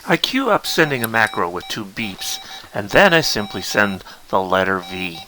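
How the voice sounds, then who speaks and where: A man's voice: fairly low pitch, big pitch swings, moderate pace, noisy background. A man narrating a step-by-step technical tutorial, explaining things directly to the learner as an instructor. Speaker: instructor demonstrating a Morse code keyer.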